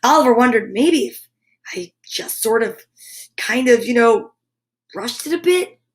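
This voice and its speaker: High-voiced, slow-paced woman reading to children. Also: animated. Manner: playful, drawn-out sound effects and repeated words. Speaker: children's storytime host. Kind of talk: animated picture-book read-aloud for children.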